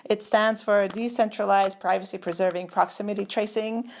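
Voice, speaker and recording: A woman's voice: medium pitch, steady tone, moderate pace, a little hiss or hum. Woman guest, middle-aged, from Turkey; thoughtful, filler-heavy delivery on a video call. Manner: thoughtful, many fillers and restarts. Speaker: guest, computer scientist. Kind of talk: conversational interview.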